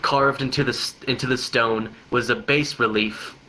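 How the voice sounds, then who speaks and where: Man stumbling through a story read-aloud, bright voice. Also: mid-range voice, fairly even intonation, normal speed, a little hiss or hum. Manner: stumbles and repeats words often while reading. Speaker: reader of a long joke story. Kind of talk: reading a story aloud, unrehearsed.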